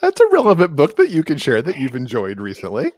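A man's voice: on the high side, huge pitch swings, quick pace, a little mellow, dry recording. A middle-aged man hosting a conversational interview, speaking into a close microphone. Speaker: interview host. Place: indoor room, on video call. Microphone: close desk microphone.

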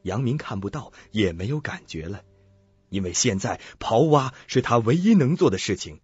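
A man's voice: mid-range voice, very animated, normal speed, a little mellow, slightly rough, dry recording, dull audio. A man narrating a suspense audio drama in a dramatic, scripted storytelling style. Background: music.

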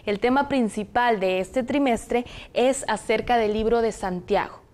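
A young woman from Zacatecas with a Mexican Spanish accent, hosting a studio discussion on a lapel mic in a TV studio, speaking in a measured, explanatory way. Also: on the high side, a little mellow. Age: young adult. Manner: measured, explanatory, reads passages aloud. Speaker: host. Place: television studio, indoors.

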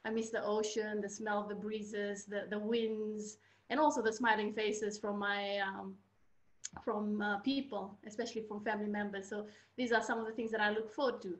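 A woman's voice: medium pitch, steady tone. A woman in her fifties with a Fijian accent, sharing a personal story hesitantly in an informal online talk. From Fiji. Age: 50s. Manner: hesitant, halting, frequent pauses. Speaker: guest speaker, architecture researcher. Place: small indoor room at home. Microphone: computer mic on video call. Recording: roomy, echoey video-call sound.

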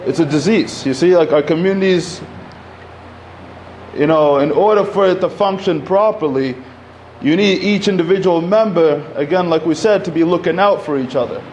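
A man's voice: on the high side, moderate pace, a little mellow, a little hiss or hum, low-quality recording. Casual young man speaking at a podium. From Egypt. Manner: conversational, many fillers, gestures while speaking. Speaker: panel speaker. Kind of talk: public talk at a community event. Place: community center hall. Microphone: podium mic.

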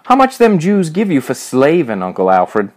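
A man's voice: on the high side, huge pitch swings, fast talker, a little mellow, voice pushed up. A man voicing a swaggering, muscular young bully who leads a gang in a story, with sneering, taunting, slangy talk. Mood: mocking, sneering.

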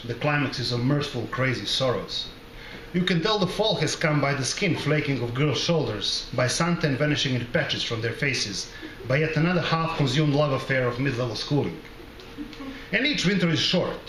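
A middle-aged man from the former Yugoslavia reading his literary short story aloud at a microphone, in a measured, deliberate and wry way. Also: fairly high pitch, fairly bright tone. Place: small bar with brick wall, indoors. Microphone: close microphone on a stand. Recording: roomy live recording, background noise.

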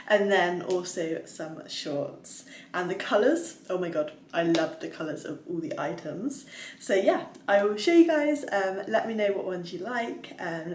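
A woman's voice: mid-range voice, darkish tone, recorded from a distance, a little hiss or hum. Bubbly giggly young woman in echoey room. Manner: bubbly, giggly, chatty with many fillers. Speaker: fitness vlogger. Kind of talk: casual try-on haul chat. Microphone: camera across the room.

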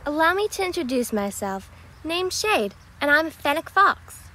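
A woman's voice: sits high, very animated, normal speed, bright, forceful tone, exaggerated voice. A woman voicing a friendly, confident fennec fox with an easygoing, inquisitive manner, in an amateur cartoon audition. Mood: friendly, puzzled. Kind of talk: amateur animated-series audition.

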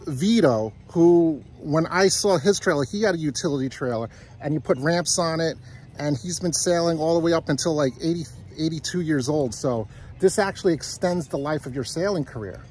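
A man's voice: a little high, moderate pace, darkish tone, slight background noise. A middle-aged man hosting a casual outdoor interview, talking enthusiastically while filming on a handheld phone in a park. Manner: enthusiastic, asks leading questions. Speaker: sailing vlogger interviewing a guest. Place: outdoors on a grassy park by a lake. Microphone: handheld phone at arm's length.

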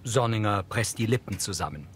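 A man's voice: fairly low pitch, lively intonation, brisk, slight background noise. A man reading scripted scene narration as an audio describer for a television crime show. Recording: music behind the voice.

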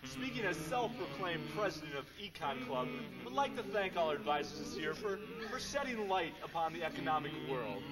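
High, lively teenage man over loud music. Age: late teens. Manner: tongue-in-cheek pompous. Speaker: high school club spokesman. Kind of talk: mock-formal tribute speech. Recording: reverberant old tape under loud music.

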